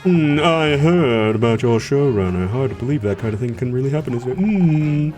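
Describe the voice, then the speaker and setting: A man's voice: mid-range voice, lively intonation, a little mellow, faint music behind, close to an everyday voice. Man doing a smug sleazy ex-husband. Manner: slow, smug, drawn-out.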